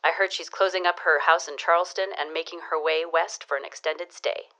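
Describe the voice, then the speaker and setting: A woman's voice: low-pitched, fairly even intonation, a little fast, pushed and bright. A woman playing a gossipy, knowing neighbor who teases and dishes news in a period audio drama. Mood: confiding. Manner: arch, knowing, teasing.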